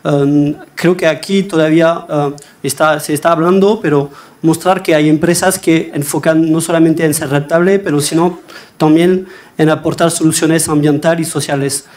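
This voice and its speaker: A young man gives a panel answer in a large wood-panelled chamber, his voice somewhat high. He hesitates constantly, stacking fillers and repeating words, close to a desk microphone. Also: fairly even intonation, normal speed, darkish tone. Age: young adult.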